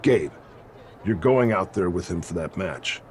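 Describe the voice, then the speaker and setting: A man's voice: low voice, lively intonation, a little slow, a little mellow, a faint crowd, a little hiss or hum. A man playing a stern, sarcastic wrestling team leader in a video game cutscene, scolding and commanding. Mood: impatient.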